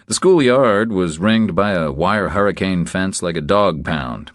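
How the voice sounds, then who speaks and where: A man's voice: low-pitched, no echo, studio quality. A man narrating a thriller audiobook from a script.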